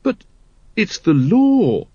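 A man acting out an eager young watchman in an audiobook, pitched well above his usual voice. He sounds earnest and innocent, asking and declaring everything with emphasis. The sound is slightly dull. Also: very animated, drawn-out words, mellow, breathy edge, dry recording, exaggerated voice. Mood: crestfallen, protesting. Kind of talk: audiobook character voice.

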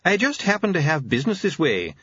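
A man's voice: medium pitch, huge pitch swings, measured pace, fairly bright tone, slightly rough, no room sound, muffled recording, voice pushed up, heavily acted. A man voicing a mild-mannered, genial, chatty doctor with effusive manners, in an audiobook. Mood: cheerful.

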